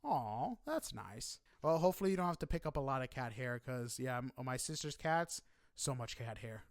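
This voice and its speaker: A man's voice: medium pitch, big pitch swings, moderate pace, no echo. A man hosting a podcast interview in a casual, chatty way, effusive and informal in his reactions.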